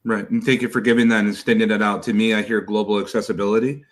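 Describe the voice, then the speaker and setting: A man's voice: fairly low pitch, flat delivery. A man moderating a panel discussion, emphatic and rhetorical as he frames questions and hands them to speakers. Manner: emphatic, rhetorical, quick to hand off.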